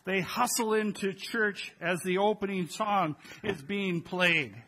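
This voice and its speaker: A man's voice: high-pitched, slow pace, pushed and bright, husky. A man in his sixties preaching a Christmas Eve sermon in a conversational way, speaking directly to his congregation from the pulpit. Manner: conversational, addresses congregation with rhetorical questions. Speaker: pastor preaching. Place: church sanctuary, at the pulpit. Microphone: gooseneck pulpit microphones.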